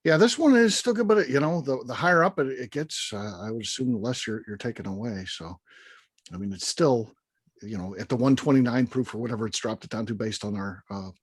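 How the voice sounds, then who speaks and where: A man's voice: mid-range voice, a little fast, breathy edge, no echo, clean studio sound. A man hosting a bourbon tasting in a casual chat, steering the group and laughing often.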